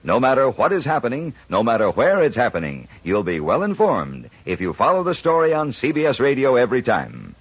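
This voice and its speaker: A man's voice: medium pitch, huge pitch swings, fairly quick, hardly any pauses. An American man reading a scripted mid-century radio promo as a network announcer, polished and emphatic. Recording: muffled old radio recording.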